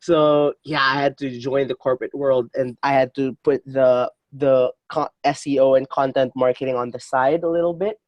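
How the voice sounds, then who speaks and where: A man in his twenties from the Philippines, a podcast guest telling his story in lively casual talk full of fillers and restarts. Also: medium pitch, normal speed, few pauses, no echo, dull audio. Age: 20s. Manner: energetic, many fillers and restarts, laughs often. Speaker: podcast guest, digital marketer. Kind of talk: casual interview chat.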